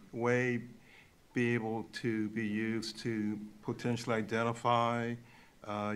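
A man in his fifties, a board member, asking a question in a halting way with many fillers, on a desk mic. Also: mid-range voice, clear, resonant tone. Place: meeting room. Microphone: desk gooseneck mic.

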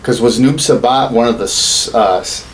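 A man's voice: mid-range voice, normal speed, slight background noise, poor audio. A man in his thirties bantering casually as a co-host, joking and swearing freely. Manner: joking, foul-mouthed. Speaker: podcast co-host. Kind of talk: casual banter about video games. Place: small indoor home studio room.

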